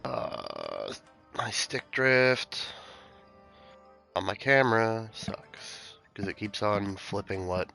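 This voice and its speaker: A male streamer with a stuffy nose, thinking aloud in relaxed gameplay commentary, with game music behind him. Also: lowish voice, takes it slowly, vocal fry.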